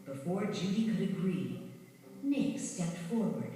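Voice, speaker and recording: Female read-along narrator, lowish voice, animated delivery, distant in a reverberant space with music. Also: a little slow. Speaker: storybook narrator. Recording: very echoey, distant, music behind.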